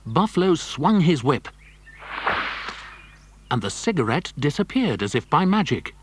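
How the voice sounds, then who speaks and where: A man's voice: on the high side, big pitch swings, measured pace, background noise. A man narrating a children's adventure story from a script.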